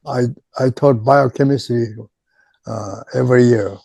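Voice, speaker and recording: An older man, a native Korean speaker with a Korean accent, recalling his career as a retired chemistry professor in an oral history interview, speaking haltingly with many pauses and repeated words. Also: medium pitch, gravelly, warm tone, husky, no echo. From Japan.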